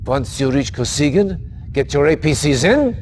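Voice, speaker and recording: A man's voice: on the high side, very animated, measured pace, a little mellow, a little hiss or hum. An actor playing a stern male Allied commander who gives clipped, authoritative mission briefings in a game cutscene. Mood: commanding. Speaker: military briefer.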